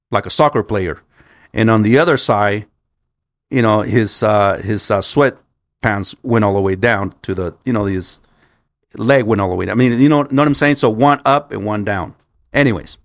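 A man's voice: fairly low pitch, no echo. Rambling man telling a story, dry close sound. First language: Spanish. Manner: rambling, repetitive, stop-start with frequent swearing. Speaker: vlogger. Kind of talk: casual storytelling to viewers.

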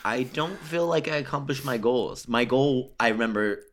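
A man's voice: mid-range voice, moderate pace, a bit bright. A man in his twenties co-hosting a podcast, rambling and joking at his own expense. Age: 20s. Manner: joking, rambling, self-mocking. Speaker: podcast co-host. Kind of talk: casual chat among friends.

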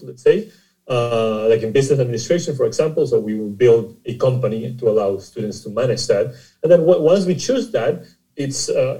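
Costa Rican male guest, very dark mellow voice, halting and repetitive explainer. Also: medium pitch, rough recording. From Costa Rica. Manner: halting, repeats words while explaining. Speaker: guest, startup CEO. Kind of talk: conversational interview.

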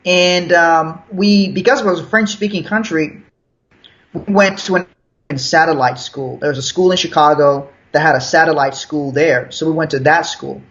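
A man's voice: a little high, normal speed, a bit bright. A young man from Liberia with an American accent, telling his story casually as an interview guest, with restarts and chuckles. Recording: dull lo-fi sound.

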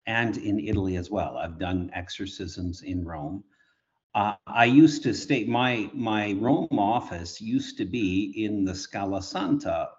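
A man from Canada, a priest guest, answering in a measured way with frequent restarts. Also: lowish voice. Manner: measured, restarts words often. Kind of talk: remote interview answers. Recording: dull lo-fi remote audio.

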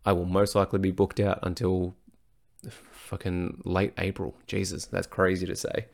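A low-pitched man with a hoarse, worn voice talks on his own podcast. He speaks frankly and swears freely in casual chat. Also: monotone, normal speed, a little mellow. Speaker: personal trainer and podcast host. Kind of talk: casual solo podcast talk.

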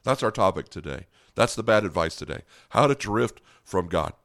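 An American man hosting a conversational sermon podcast as a pastor, speaking earnestly and directly to listeners with a slightly hoarse voice. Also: lowish voice, expressive, normal speed, fairly bright tone, no echo, studio quality.

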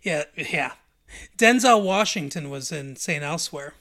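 A man co-hosting a casual movie chat, agreeable and halting, with lots of fillers. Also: fairly high pitch, expressive, pushed and bright. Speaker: podcast co-host.

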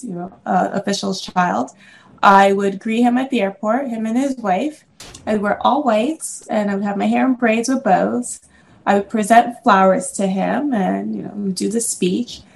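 A middle-aged woman from the Congo, an interview guest and business owner, telling stories in a lively way and laughing often. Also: medium pitch, unhurried, creaky, slight background noise. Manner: animated storyteller, laughs often. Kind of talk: casual interview conversation.

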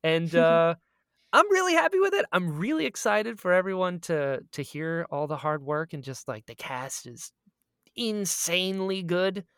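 A man's voice: high voice, animated, moderate pace, fairly bright tone, clean tone, no echo, studio quality. A man co-hosting a casual podcast chat, talking in a self-deprecating, rambling way. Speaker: podcast co-host and audio drama creator.

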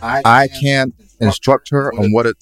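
A man's voice: mid-range voice, fairly even intonation, a little slow, music in the background. A man co-hosting a casual podcast, debating in an insistent, bantering way. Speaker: podcast co-host.

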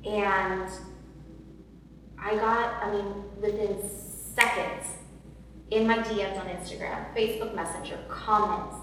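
A woman with a loud voice, telling her personal story earnestly as a guest in an interview, with many fillers and repeats. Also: medium pitch, steady tone, a little slow. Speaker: podcast guest. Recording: echoey hall from far off, faint noise.

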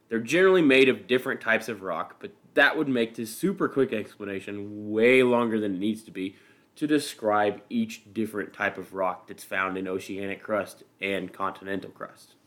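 A man's voice: lowish voice, a bit bright. A man with a Midwestern American accent hosting a podcast solo, explaining things casually in an informal, self-deprecating, dryly humorous manner. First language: English. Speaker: podcast host.

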